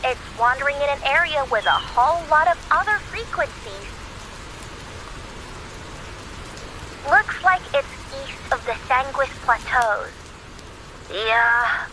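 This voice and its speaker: A woman voicing a perky, quirky young reader of omens in a video game, playful, breezy and expressive. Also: fairly high pitch, slight background noise, low-quality recording. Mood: musing, chatty.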